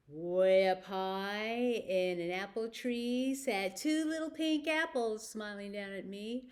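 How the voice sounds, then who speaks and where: A woman presenting a children's story time, telling stories and rhymes and speaking directly to young listeners with simple prompts. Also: medium pitch.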